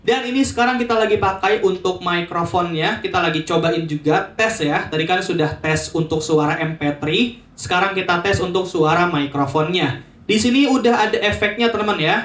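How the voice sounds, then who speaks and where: A man's voice: high-pitched, brisk, fairly bright tone. A man presenting a casual promotional product review, chatting to viewers like friends.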